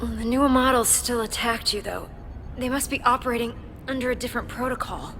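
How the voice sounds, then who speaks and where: A smart young American heroine from a video game. She comes across as brave and caring. Her lines sit over clear ambient noise. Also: a little high, a little fast, fairly bright tone. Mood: calm, recovering. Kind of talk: video game cutscene acting. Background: noisy ambience.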